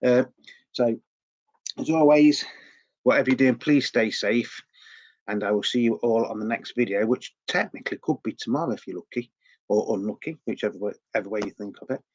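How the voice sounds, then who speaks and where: A man's voice: medium pitch, a little fast, muffled recording. Chatty man with a sore throat. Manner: rambling, chatty, repeats words often. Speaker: record collecting channel host. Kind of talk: casual vlog chat.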